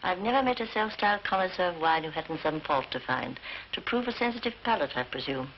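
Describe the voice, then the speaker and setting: An actress playing a prim, coldly polite older sister with formal, cutting delivery in a 1940s British film. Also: female voice, fairly low pitch, animated, a little fast. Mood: acidly cutting. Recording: muffled, hissy 1940s film soundtrack.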